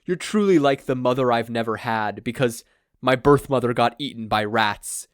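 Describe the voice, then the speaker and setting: A man's voice: mid-range voice, moderate pace, dry recording, clean studio sound, lightly acted. A man playing a grandiose, self-deluded male supervillain with a pompous, melodramatic, wordy delivery in a fan fiction reading. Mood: gleeful menace.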